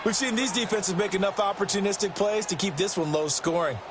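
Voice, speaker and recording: A man's voice: high voice, brisk, natural voice. A man with an American accent playing an ex-player football analyst in a video game, explaining plays emphatically. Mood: keen, analytical. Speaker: color commentator, former player. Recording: loud game crowd noise behind voice. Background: stadium ambience of a football video game.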